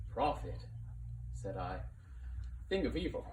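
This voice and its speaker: Man, mid-pitched voice, dramatic poetry recitation, hushed to impassioned, distant from the mic, faint noise. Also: very animated, slow pace, roomy sound. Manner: theatrical, swelling from hushed to impassioned. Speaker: narrator reading a poem aloud.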